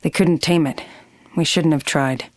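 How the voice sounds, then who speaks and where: A haunted fighter with a low voice and a terse, brooding manner. The recording is dry and close. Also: female voice, fairly even intonation, a little slow, a little mellow, no echo. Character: haunted, skeptical woman fighter.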